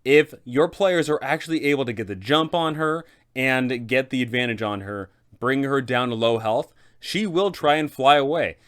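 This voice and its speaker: A man's voice: mid-range voice, lively intonation, normal speed, few pauses, fairly bright tone, clean tone. A man hosting a tabletop game guide, narrating in an explanatory way with dramatic emphasis. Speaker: host of a game master guide. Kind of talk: semi-scripted explanatory narration.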